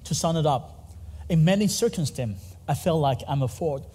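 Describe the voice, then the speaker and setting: A man's voice: a little high, animated, moderate pace, mellow, slightly rough. Taiwanese man preaching in a hall. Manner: earnest, gesturing while speaking. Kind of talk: sermon. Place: church stage, dark curtains. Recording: long reverb of a large hall.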